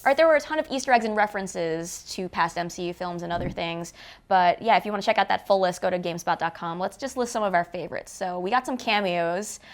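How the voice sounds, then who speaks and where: A woman's voice: fairly low pitch, fast talker. Chatty, enthusiastic woman co-hosting. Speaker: co-host of a movie review show. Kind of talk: casual chat, film discussion.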